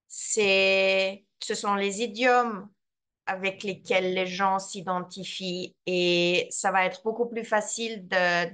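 A woman presenter answering questions in an online talk, slow and careful, searching for words. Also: medium pitch, a bit bright, smooth. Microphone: computer mic on a video call.

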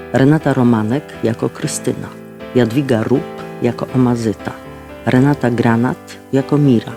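A man's voice: medium pitch, gravelly. A man reading scripted credits and sponsor announcements as the announcer of an audio drama. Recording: guitar music behind the voice. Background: plucked guitar music.

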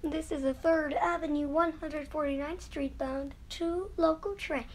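A woman reading scripted subway train announcements in a measured, formulaic manner. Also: high voice, a little mellow, slight background noise.

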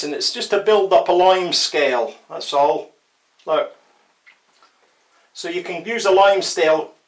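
Older man, unhurried, in an echoey bathroom. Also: fairly high pitch, fairly bright tone. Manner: chatty, wry, self-deprecating humour. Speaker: DIY tutorial presenter. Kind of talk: casual hands-on how-to demonstration. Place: small tiled bathroom shower, indoors. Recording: roomy tiled echo, distant microphone.

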